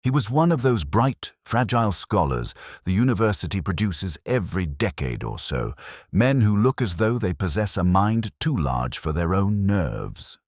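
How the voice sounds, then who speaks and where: Male narrator for detective fiction: extremely low voice, lively intonation, brooding and measured, close dry sound. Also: no room sound. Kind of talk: first-person scripted mystery narration.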